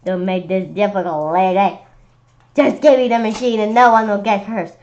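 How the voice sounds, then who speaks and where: A woman's voice: mid-range voice, steady tone, normal speed, darkish tone, natural voice. A woman voicing a greedy, scheming male Halloween monster who gloats about ruling the world, on a dull lo-fi recording. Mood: threatening. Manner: gloating, cackling.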